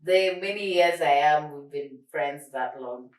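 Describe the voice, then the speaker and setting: A young woman chats on a podcast in an animated, rambling way, often breaking into laughter. Her voice sits a little low. The sound is roomy and set back from the mic. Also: unhurried. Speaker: podcast co-host. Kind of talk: casual chat. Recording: echoey room, far from mic.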